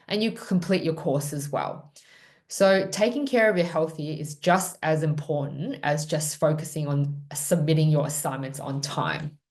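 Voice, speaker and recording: A woman presenting a student orientation webinar, explaining in a reassuring way with the odd filler. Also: low-pitched. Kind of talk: pre-departure orientation webinar talk.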